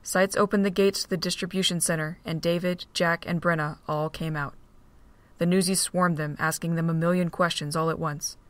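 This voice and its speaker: Woman narrating steady, lowish voice, dry recording. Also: moderate pace, no room sound. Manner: steady storytelling. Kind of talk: scripted narration of a fan audio drama.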